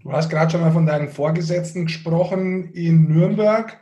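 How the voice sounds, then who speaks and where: This man has a notably high-pitched voice. He works as an interviewer on a podcast and phrases his questions in a loose, conversational style. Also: normal speed, warm tone.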